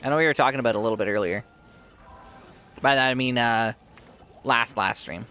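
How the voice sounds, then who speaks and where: A man's voice: mid-range voice, a little fast, bright, forceful tone, clear, resonant tone. A man streaming a game live, chatting casually with viewers while his sore throat leaves his voice fading. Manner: chatty, reads and answers viewers.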